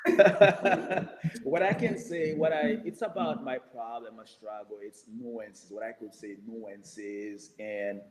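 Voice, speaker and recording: A man who grew up in Haiti, a Creole speaker, speaking on a live video-call panel in a halting way with many restarts. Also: mid-range voice, over a noisy crowd. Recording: roomy with long reverb.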